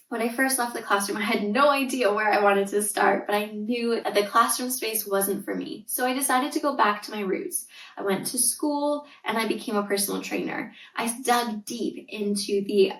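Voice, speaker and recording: A woman's voice: fairly high pitch, a bit bright, roomy sound, far from the mic, poor audio. A Canadian woman in her thirties hosting a solo podcast, speaking in an earnest, reassuring and emphatic way. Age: 30s. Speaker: podcast host, tutor and coach. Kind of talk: scripted solo podcast intro.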